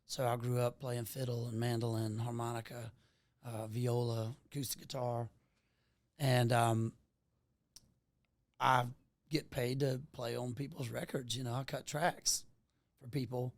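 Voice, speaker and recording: A man's voice: mid-range voice, flat delivery, a little slow, pauses often, no room sound. Folksy Southern man chatting. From Louisiana, USA. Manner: folksy, rambling, peppers talk with "man". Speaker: guest, working musician. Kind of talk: casual podcast chat.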